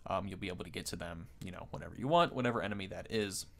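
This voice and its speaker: A man's voice: low-pitched, rapid-fire, creaky. A man giving casual, off-the-cuff game commentary as a video host, rambling and thinking aloud.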